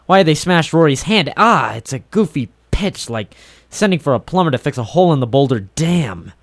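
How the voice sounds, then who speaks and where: An actor playing a hard-boiled, wisecracking private eye in a 1940s radio noir, cynical, clipped and sardonic. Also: male voice, a little high, big pitch swings, moderate pace, dry recording. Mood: wry, brooding.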